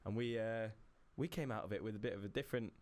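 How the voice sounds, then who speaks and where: A man's voice: on the low side, fast, darkish tone, dry recording. A young British man co-hosting a casual podcast, chatty and easygoing, often laughing. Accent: British English. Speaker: podcast co-host. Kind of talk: casual chat.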